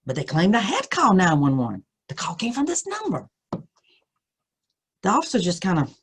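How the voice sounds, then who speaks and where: Female storyteller, lowish husky voice, reading an eerie first-person tale with deliberate, suspenseful delivery. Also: wildly swooping pitch.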